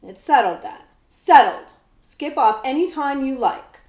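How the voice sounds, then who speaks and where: A woman's voice: sits high, animated, unhurried, a little mellow, voice pushed up. Woman reading as a scrappy street boy, echoey. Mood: blunt, defiant. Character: scrappy, street-wise poor boy, blunt but soft-hearted. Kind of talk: children's book read-aloud.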